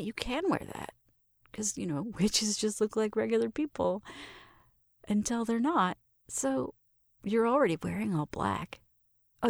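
A woman's voice: fairly high pitch, vocal fry, dry recording, clean studio sound. A woman playing a shy, smitten young roommate in an intimate roleplay, nervous and stammering as she talks to the listener. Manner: nervous, stammering, giggly, self-interrupting.

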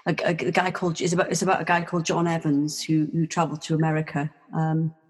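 A woman's voice: deep voice, completely flat delivery, quick pace. Woman, casual guest chat, rambling, trailing off, reverberant room. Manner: rambling, many restarts and fillers, soft trailing delivery. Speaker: guest, textile artist.

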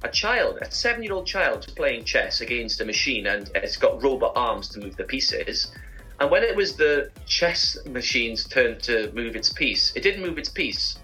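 A man's voice: medium pitch, big pitch swings, a little slow, sharp tone, breathy edge, faint music behind, low-quality recording. A man co-hosting a chat show, telling rambling, humorous anecdotes in a casual style. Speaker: co-host presenting segments.

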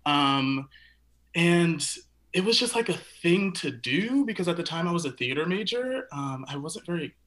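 A young man recalls how he came to dance in a loose, rambling way, full of likes and self-deprecating remarks. His voice is bright and lively, rising and falling freely. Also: on the high side, normal speed. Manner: casual, heavy use of like, self-deprecating. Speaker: dancer, guest. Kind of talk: conversational interview answers.

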